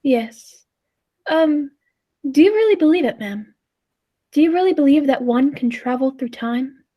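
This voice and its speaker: A woman's voice: high-pitched, lively intonation, unhurried, lo-fi sound. A woman playing a polite, timid and skeptical dinner guest in a 1940s-style radio play, hesitant and deferential, given to exclaiming.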